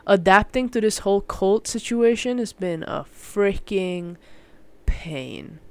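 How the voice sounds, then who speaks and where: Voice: medium pitch, expressive, slow pace, clear, resonant tone, no room sound. A young adult from Malaysia chatting casually and solo as a podcast host, in a rambling, informal way that often breaks off and restarts with mild slang.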